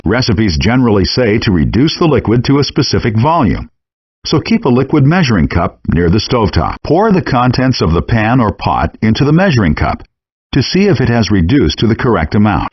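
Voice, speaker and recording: A man's voice: fairly low pitch, husky, no echo. A man voicing a chef instructor in a cooking video game, reading scripted explanatory instructions in muffled, compressed game audio.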